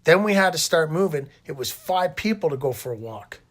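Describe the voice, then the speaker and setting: A man's voice: on the high side, expressive, brisk, a bit bright. Rambling Canadian man telling stories casually. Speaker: interviewer and host recounting own hospital stay. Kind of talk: casual conversational storytelling.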